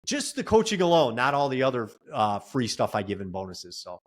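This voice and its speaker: An earnest man talks through his experience in long, run-on stretches at a mid-range pitch. He offers advice and lessons plainly, in the easy flow of a casual podcast interview. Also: big pitch swings, brisk. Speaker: real estate investor and coach.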